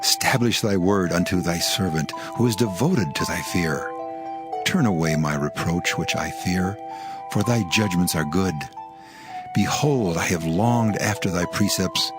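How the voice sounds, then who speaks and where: A man's voice: low voice, measured pace. A man giving a dramatized reading of scripture. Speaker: scripture reader. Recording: reading over a music bed.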